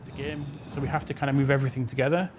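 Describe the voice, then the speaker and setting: A man's voice: medium pitch, steady tone, rapid-fire, dull audio, background noise. A man answering questions as a game developer on a panel, explaining informally in a loose, hedging way. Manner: hedging, explanatory, peppered with "you know" and "kind of".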